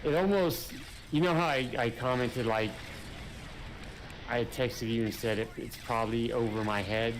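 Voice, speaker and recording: A man's voice: mid-range voice, moderate pace. A man chatting casually while playing a co-op game, rambling and hesitant with many fillers. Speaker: gamer. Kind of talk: casual gaming chat. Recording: noisy game audio behind.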